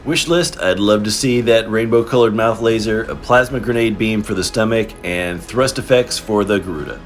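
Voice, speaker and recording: A man's voice: fairly low pitch, moderate pace, faint music behind. A man hosting a casual toy review in a playful, informal, slangy way, commenting as he handles figures.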